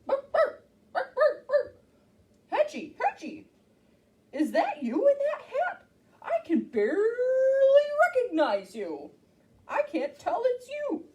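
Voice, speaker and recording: Figure: eager farm dog. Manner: excitable, barking. Pitch: very high. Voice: a woman's, bouncy and animated. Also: slow pace, distant mic, lo-fi sound, full character voice. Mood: excited.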